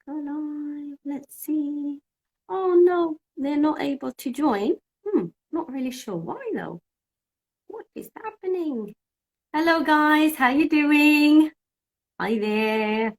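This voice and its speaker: Woman from cyprus, greek is her first language, english teacher chatting on a phone live, kinda high voice. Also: expressive, takes it slowly. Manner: chatty, thinking aloud while fixing technical trouble. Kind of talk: casual live-stream chat.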